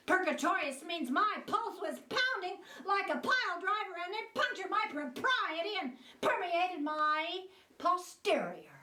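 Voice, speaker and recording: A woman's voice: extremely high pitch, sing-song, measured pace, exaggerated voice. A woman playing a pompous, indignant complainant in a detective spoof, scolding theatrically in old-time radio comedy style. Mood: huffy, exasperated. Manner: theatrical, scolding, heavily alliterative delivery. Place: stage performance in a roomy hall. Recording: echoey stage, distant mic, noisy.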